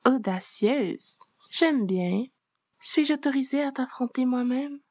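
A woman's voice: sits high, animated, slow, a little mellow, pitched above the performer's own voice, exaggerated voice. A woman voicing a powerful, amused witch who rules a coven, honeyed, teasing and self-assured, in a narrated story. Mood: amused, bold.

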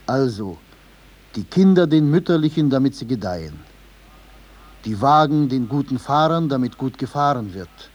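An actor playing a solemn storyteller, measured and declamatory, in 1950s German radio drama narration over music. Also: male voice, mid-range voice, animated, a little mellow, lo-fi sound, natural voice. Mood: solemn, instructive. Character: solemn male storyteller proclaiming a fable's moral.

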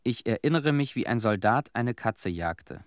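An actor in a radio drama playing a somber young Chernobyl survivor who recalls childhood in measured, plain sentences. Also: male voice, medium pitch, animated, no echo, studio quality, close to an everyday voice. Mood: somber recollection.

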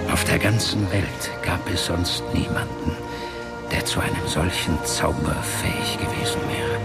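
An actor playing an ancient, solemn wizard father in a fantasy radio drama, grave and measured, with a breathy half-whispering voice. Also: low voice, heavily acted. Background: background music.

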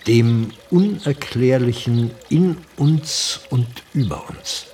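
An actor playing a frail, courteous old Turkish gentleman in a radio play, speaking formally and with weight, a pious and grave sage. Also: mid-range voice, recorded from a distance, close to an everyday voice. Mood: courteous, solemn. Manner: slow, deliberate, formal, weighty.

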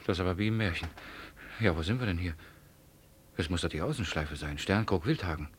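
A man's voice: low voice, a little fast, slight background noise, lightly acted. A man acting in a radio play as a flustered, injured company director, stammering and exclaiming in disbelief. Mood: bewildered, pained.

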